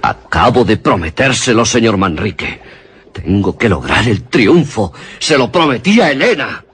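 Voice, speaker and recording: A man's voice: fairly low pitch, big pitch swings, unhurried, fairly bright tone, breathy edge, heavily acted. An actor playing an obsessed, menacing scientist and father in melodramatic, commanding suspense radio theatre. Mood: grimly determined.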